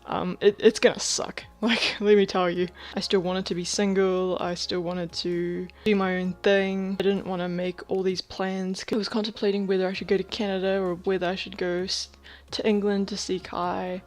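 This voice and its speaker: Flat-voiced young woman confiding. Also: medium pitch, moderate pace, few pauses. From Thailand. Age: 20s. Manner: candid, rambling, frequent fillers and soft laughs; drops to a whisper about housemates. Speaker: vlogger. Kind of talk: personal video diary.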